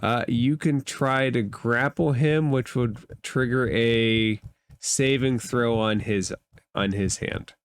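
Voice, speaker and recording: Slow-paced, clear-voiced man as game master. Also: mid-range voice, steady tone. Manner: measured, explaining rules and addressing players. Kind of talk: narrating a tabletop roleplaying game.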